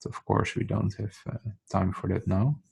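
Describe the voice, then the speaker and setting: A man's voice: on the low side, steady tone, normal speed, vocal fry, darkish tone, husky. A man with a Dutch accent, a non-native English speaker, thinking aloud while he sketches in a casual drawing tutorial. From Netherlands.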